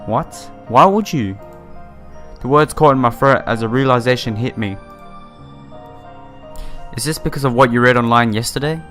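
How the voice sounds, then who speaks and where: Male let's player, mid-pitched, reads visual novel text and reacts casually, music underneath. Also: normal speed, pauses often, fairly bright tone. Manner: informal, chatty, exclamatory reactions. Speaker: let's play YouTuber. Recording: music behind the voice. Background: game music.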